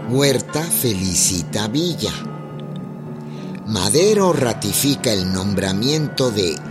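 A deep-voiced storyteller narrates history at a slow, grave pace. His radio drama narration rides over loud music. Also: animated. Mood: grave, measured.

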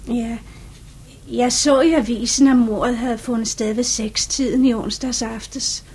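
A woman's voice: fairly high pitch, fairly even intonation, a little mellow, slightly rough. An actress playing an earnest young bakery shopgirl as a witness in a 1950s radio drama, speaking politely and hesitantly.

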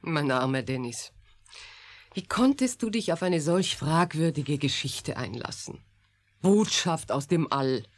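A woman playing a composed, dry, sceptical scientist in a German radio drama, measured in manner. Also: deep voice, animated. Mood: disapproving.

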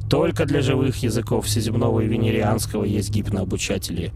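A man's voice: on the low side, brisk, close to an everyday voice. A man voicing a proud, suspicious male sphinx who lectures in a haughty, grumbling way. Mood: lecturing. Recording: loud background noise.

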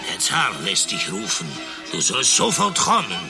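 A man's voice: lowish voice, huge pitch swings, a little slow, over music, heavily acted. An actor playing a brusque, commanding horseman of the Russian Tsar in a satirical radio play, theatrical in manner. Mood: urgent, commanding. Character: brusque male mounted messenger of the Russian Tsar.

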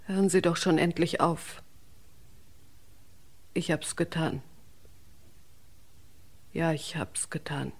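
An actress playing a tense, cornered hotel receptionist in a 1960s German radio crime play. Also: female voice, sits low, slow pace, long pauses, full character voice. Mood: desperate, breaking down.

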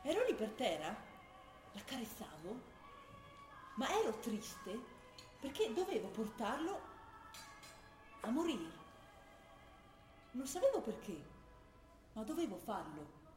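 A troubled young militant, softly confiding. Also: female voice, on the high side, big pitch swings, slow, pauses often, echoey room, over music. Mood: dreamy. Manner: confiding, dialect-tinged Italian. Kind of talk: radio broadcast of a stage play.

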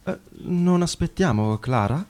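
A man's voice: a little high, animated, unhurried, a little mellow. A man playing Luca, an awkward hesitant guest. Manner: hesitant, stammering politeness. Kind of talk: radio drama acting.

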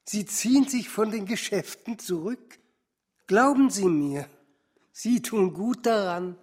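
An actor playing a patronizing stock exchange acquaintance who hands out smug advice in a radio drama. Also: male voice, high-pitched, slow pace, darkish tone, breathy edge, heavily acted.

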